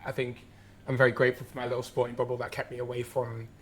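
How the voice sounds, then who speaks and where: A man hosts a podcast interview in a very flat, even voice. He speaks haltingly and reflectively, often repeating words as he builds his questions. His pitch sits in the middle. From England. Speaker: podcast host.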